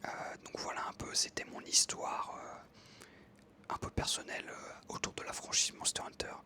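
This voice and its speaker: An ASMR creator whispering casually into a close microphone over gameplay, rambling with frequent hesitations. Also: normal speed. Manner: soft whisper, casual and rambling with many fillers.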